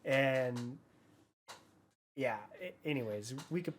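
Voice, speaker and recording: A man's voice: mid-range voice, expressive, takes it slowly, slight background noise. A man co-hosting a podcast, talking casually about movies in an informal, rambling way. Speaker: podcast host.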